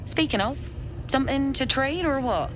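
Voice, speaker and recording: A woman's voice: high voice, lively intonation, moderate pace, music in the background. A woman playing a shrewd, cheery, mercenary merchant in a fantasy video game. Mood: wry, mercenary.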